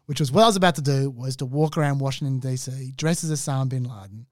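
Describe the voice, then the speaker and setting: A man's voice: mid-range voice, quick pace, no room sound. Aussie guy telling a story on a podcast, laughs a lot, trips over his words, dead dry mic. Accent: Australian. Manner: animated, laughing, many restarts. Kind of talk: casual storytelling chat.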